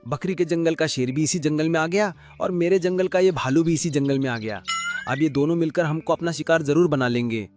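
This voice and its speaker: A man's voice: a little high, brisk, a little mellow, lightly acted. A man doing a clever cartoon donkey, earnest and a little sly, with a slightly raised voice. Light music runs underneath. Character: clever loyal male donkey named Lucky. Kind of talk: cartoon voice acting.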